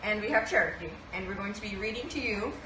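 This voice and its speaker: A young adult woman reading a children's picture book aloud with expressive delivery, recorded from a camera in a small room. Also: mid-range voice, fast. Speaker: animal shelter kennel technician reading aloud. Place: small indoor shelter room. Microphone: camera a few feet away. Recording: roomy, noisy, distant camera microphone.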